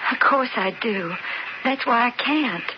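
A wary young rancher's daughter in a Western radio play. Her American voice is a bit high and earnest. The old recording is muffled, with clear noise. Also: lively intonation, a little slow. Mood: anxious. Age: young adult. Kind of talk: radio Western drama acting. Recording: muffled, noisy vintage radio broadcast.

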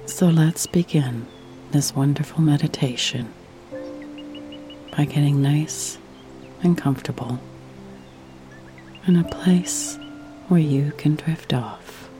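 A woman narrating a guided sleep meditation in a soft, breathy, soothing voice that nears a whisper, with long pauses. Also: low-pitched. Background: faint music.

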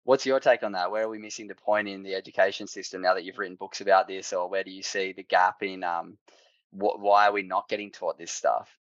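A man's voice: deep voice, steady tone, brisk, fairly bright tone, dry recording, highs cut off. A man hosting a podcast interview, asking friendly, enthusiastic, rambling questions. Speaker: podcast host.

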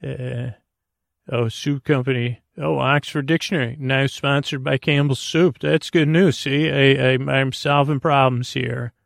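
A man hosting a bedtime podcast in a meandering, halting, goofy monologue meant to lull listeners to sleep, with a gravelly voice. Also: medium pitch, steady tone, no room sound, studio quality. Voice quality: gravelly, creaky. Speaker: podcast host.

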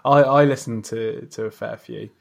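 A man with a medium-pitched voice hosts a casual interview. He keeps his replies brief and agreeable, encouraging his guest in an informal conversational way. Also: fairly even intonation, normal speed, gravelly. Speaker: podcast host, interviewer.

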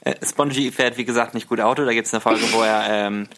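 A man's voice: medium pitch, fairly even intonation, brisk, a bit bright, a little hiss or hum. A man as a podcast guest, chatting casually in an informal, playful way. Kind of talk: casual chat.

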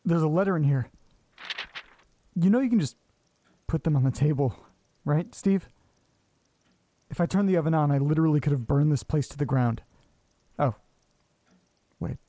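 An actor playing an anxious, lonely, self-doubting man who talks haltingly to himself in a horror audio drama. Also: on the high side, dark tone, close to an everyday voice. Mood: puzzled, uneasy. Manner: halting, muttering, talking to himself. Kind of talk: audio drama monologue, naturalistic acting.

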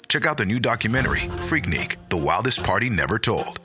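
A man delivers a scripted promo read. His voice is on the low side with very lively intonation, and music plays behind him. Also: fairly quick. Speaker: advertisement announcer.

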